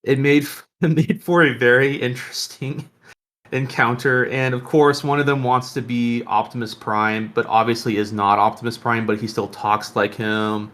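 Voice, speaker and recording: A man's voice: medium pitch, fairly even intonation, normal speed, fairly bright tone. A man co-hosting a podcast in casual, rambling, jokey conversation. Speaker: podcast co-host.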